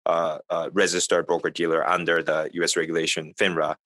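A man from Japan with a Japanese accent, a native Japanese speaker, answering as an interview guest in a halting, conversational way with repeated filler phrases. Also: deep voice, flat delivery, fairly bright tone, dry recording, clean studio sound. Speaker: interview guest, fintech business representative.

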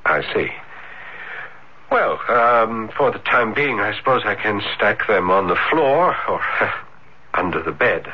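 An actor playing a tormented young student, earnest and intense, in a theatrical American radio drama style. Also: male voice, fairly low pitch, very animated, slow, husky, heavily acted. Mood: timid, polite. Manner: intense, theatrical, hesitant at times. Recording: muffled vintage radio drama recording.

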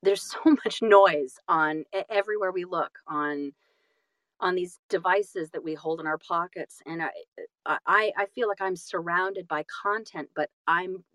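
Thoughtful, halting woman in dry-sounding conversation. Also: on the low side, a bit bright, no echo. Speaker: guest, audiobook narrator and voice-over artist. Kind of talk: reflective interview conversation.

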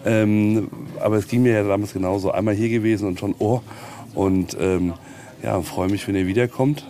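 A man's voice: fairly low pitch, fairly even intonation, moderate pace, warm tone. A man being interviewed casually, talking reflectively and often searching for his words.

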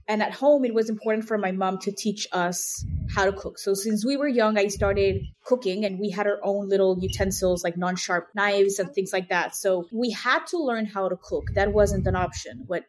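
A woman in her late thirties from Mexico City speaks English with a Mexican Spanish accent, her first language being Spanish. Her voice sits at a middle pitch for a woman. She talks in a chatty, fond storytelling way and slips Spanish words into her sentences. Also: darkish tone. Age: late 30s. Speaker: guest, snack company founder. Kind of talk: casual interview chat.

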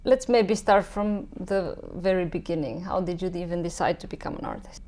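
A woman's voice: on the low side, normal speed, dark tone. A woman hosting a casual interview, asking questions conversationally. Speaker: interviewer, host. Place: artist's studio.

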